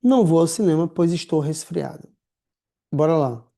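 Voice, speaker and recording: A man teaching a writing class in a lively, slangy, chatty way, calling his students affectionate names. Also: fairly high pitch, moderate pace, gravelly. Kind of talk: casual recorded lecture.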